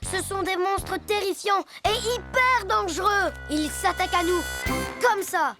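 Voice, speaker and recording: Cartoon boy hippo: cautious worrier, high childlike pitch, slow delivery, loud music underneath. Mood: panicked. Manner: anxious, exclamatory. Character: cautious, easily panicked young boy hippopotamus.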